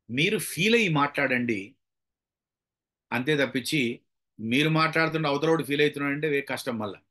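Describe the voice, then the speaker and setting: A man with a Telugu Indian accent and a slightly high voice speaks as a public speaking trainer. He teaches in a motivational style, stressing key words to demonstrate his points and switching into English phrases as he explains. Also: fairly bright tone. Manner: demonstrative, varies emphasis to illustrate points.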